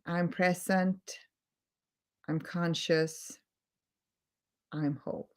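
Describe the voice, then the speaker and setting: A woman with a Swedish accent, a native Swedish speaker, giving a gentle, earnest live-stream talk as a holistic healing coach, repeating words for emphasis. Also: fairly low pitch, steady tone, drawn-out words. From Sweden.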